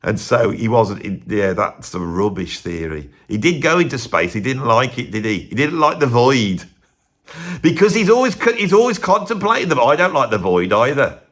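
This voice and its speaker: Animated, rambling man. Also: moderate pace. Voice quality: lively, swooping pitch. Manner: chatty and digressive, with a voice raised above his natural one. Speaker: music video host. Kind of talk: rambling casual monologue.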